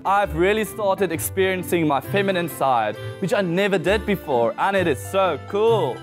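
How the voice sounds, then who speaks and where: A man's voice: sits high, expressive, moderate pace, music in the background. A man from South Africa with an Afrikaans accent, chatting casually as a guest, giggly and full of fillers. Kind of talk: casual chat.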